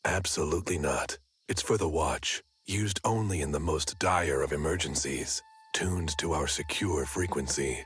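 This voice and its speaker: An actor playing a paranoid, self-important neighborhood watch man in a video game, raspy, terse and suspicious. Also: a little slow, hardly any pauses, a bit bright. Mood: secretive, stern. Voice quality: very deep gravelly rasp.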